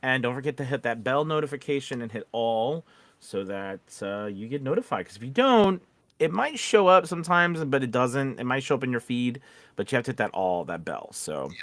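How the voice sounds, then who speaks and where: A man's voice: mid-range voice, sing-song, a little fast, fairly bright tone, no echo. A man who is a voice actor co-hosting a podcast, chatting casually and rambling, with playful repetition of words. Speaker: podcast co-host. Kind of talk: casual co-hosted chat.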